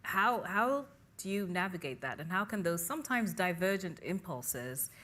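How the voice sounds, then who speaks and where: Low-voiced woman moderating a panel. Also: sing-song, moderate pace, bright, forceful tone. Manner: polite, reads out audience questions, hands over to panelists.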